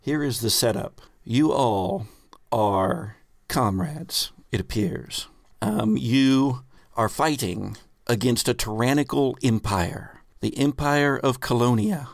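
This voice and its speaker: A man's voice: medium pitch, takes it slowly, creaky, no room sound, clean studio sound. A man hosting and narrating a tabletop roleplaying game as game master, with casual improvised phrasing and dramatic storytelling emphasis. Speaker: podcast host and game master.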